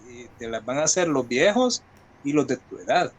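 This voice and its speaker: A man's voice: medium pitch, lively intonation, moderate pace, husky. A man from El Salvador with a Salvadoran accent, talking as an interview guest in a colloquial, reflective way and explaining with examples. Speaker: guest writer. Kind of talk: conversational interview talk.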